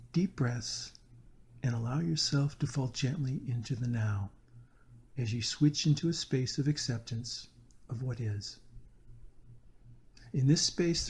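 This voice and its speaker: A man narrating a scripted guided meditation in a slow, deliberate, earnest manner. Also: mid-range voice, a little mellow.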